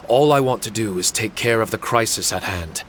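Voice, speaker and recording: A man's voice: lowish voice, normal speed, a bit bright, slight background noise, natural voice. A man voicing a humble, earnest spirit guardian in a video game, with a formal, apologetic way of speaking. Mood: humble, accepting. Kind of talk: English video game voice acting. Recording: music behind the voice.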